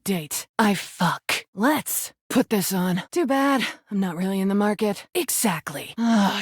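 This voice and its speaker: Brazen, sarcastic rubber duck, dry recording. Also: female voice, a little high, no echo. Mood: dry, scornful. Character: crude, flirty, brash rubber duck come to life. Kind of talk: video game character voice lines.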